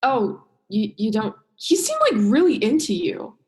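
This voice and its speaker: Casting: actress for young female diver, higher register, earnest, principled, emphatic, radio drama. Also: very animated, unhurried. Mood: friendly, apologetic. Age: young adult. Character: earnest, principled woman diver standing up for herself. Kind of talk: student radio play acting.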